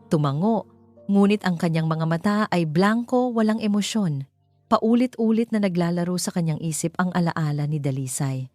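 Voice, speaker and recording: A Filipino woman tells a romantic drama as a scripted story. Her voice sits fairly low and she delivers it with measured drama, with soft music playing behind her. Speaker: storyteller, narrator. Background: background music.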